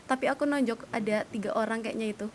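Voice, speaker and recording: A woman chatting casually with other players while playing a roleplay game. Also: fairly quick. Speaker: gamer streaming a roleplay game. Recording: rumbly background noise.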